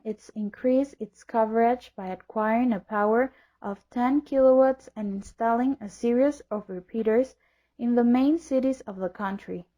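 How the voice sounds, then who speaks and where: A woman narrates a history piece from a script in a formal, read-aloud manner. Her voice sits fairly high. The recording is somewhat dull and lo-fi. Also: warm tone. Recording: dull lo-fi audio.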